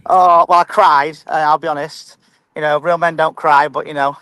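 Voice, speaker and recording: A man's voice: on the high side, flat delivery, moderate pace, sharp tone, smooth, low-quality recording. A man calling in to a fan show, emphatic, often stumbling and repeating words. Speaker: caller. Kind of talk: casual fan chat.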